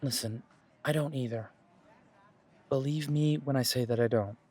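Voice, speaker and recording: A man playing a protective, dutiful werewolf pack leader in an audio drama, speaking in an earnest, measured way with dry humour. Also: mid-range voice, darkish tone, close to an everyday voice. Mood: earnest, reasoning. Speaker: voice actor in audio roleplay. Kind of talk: scripted audio roleplay drama.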